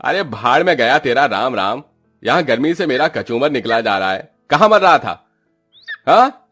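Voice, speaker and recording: A man voicing a rude, lazy rich village landlord in a cartoon, gruff and haughty as he scolds. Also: mid-range voice, expressive, measured pace, a bit bright, soft background music. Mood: angry, bullying. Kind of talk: Hindi moral-story cartoon voice acting.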